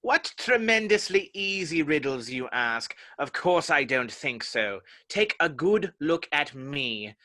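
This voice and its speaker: A man's voice: on the high side, very animated, takes it slowly, few pauses, bright, forceful tone, heavily acted. A man playing a haughty, touchy, pedantic egg character in a dramatic reading of a children's classic. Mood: haughty, provoked.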